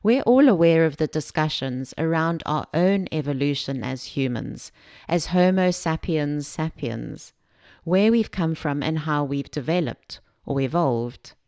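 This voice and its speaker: A woman from Zambia giving a scripted self-help talk as a podcast host, measured and explanatory, speaking directly to the listener. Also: low voice, lively intonation, a little mellow, no echo, clean studio sound.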